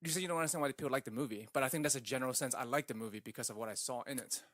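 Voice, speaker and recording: A man's voice: medium pitch, rapid-fire, fairly bright tone, no echo, studio quality. A man co-hosting a podcast in casual, argumentative banter, swearing freely and pressing his points. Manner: profane. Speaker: podcast co-host.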